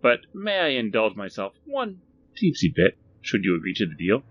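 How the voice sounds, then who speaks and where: A man voicing an eerie, overly formal little male stranger who speaks slowly and precisely. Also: on the low side, wildly swooping pitch, lo-fi sound, a little hiss or hum. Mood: measured.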